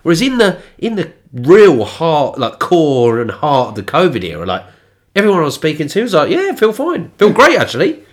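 A man's voice: wildly swooping pitch, normal speed. A man with a blocked, nasal voice from a cold, co-hosting a casual podcast in a sweary, mocking way. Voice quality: nasal, stuffy. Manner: sweary, mocking, jumps into high mimicking voices. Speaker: podcast co-host. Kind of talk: casual conspiratorial chat.

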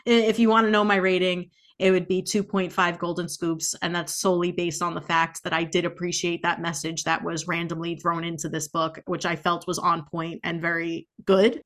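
A woman's voice: on the low side, level tone, a little fast, a bit bright. A woman co-hosting a podcast, giving informal, opinionated reviews in casual conversation. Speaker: podcast co-host. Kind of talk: casual book-review chat.

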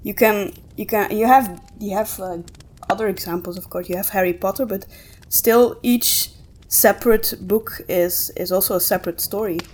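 A woman co-hosting a podcast in a casual book discussion, thinking aloud in a halting way as she gives her opinions. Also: mid-range voice, fairly even intonation, a little mellow. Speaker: podcast co-host.

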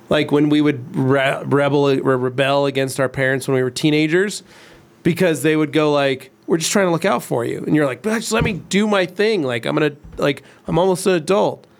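Man in his forties, fairly high voice, reflective, fatherly, casual studio chat. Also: moderate pace. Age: 40s. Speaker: co-host of detailing talk show.

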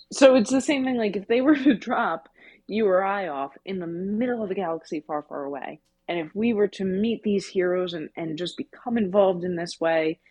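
Low-voiced woman podcaster, close dry sound. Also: darkish tone, husky, no echo, dull audio. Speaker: podcast co-host. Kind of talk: casual book discussion.

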